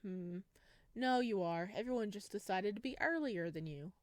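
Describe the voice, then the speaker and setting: A woman's voice: medium pitch, animated, moderate pace, no echo, natural voice. A woman voicing a tall, broad male medical instructor with a dry, teasing manner in a visual novel.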